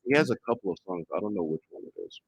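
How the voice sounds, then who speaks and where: A man's voice: lowish voice, expressive, racing, breathy edge, dry recording, lo-fi sound. A man talking casually as a guest on a music podcast, recorded in a studio. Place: indoor studio.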